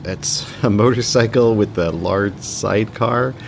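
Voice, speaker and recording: A man hosting a podcast, narrating in a relaxed, conversational and slightly wry storytelling style. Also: fairly low pitch, a little slow, gravelly, a little hiss or hum. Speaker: podcast host and producer. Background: music.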